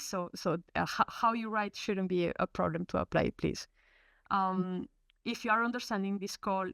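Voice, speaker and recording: English speaker from Colombia, female, webinar presenter; gravelly, halting delivery, dry close mic. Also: fairly low pitch, fairly bright tone, no room sound. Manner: hesitant, many fillers and restarts. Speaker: research program presenter. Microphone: video call.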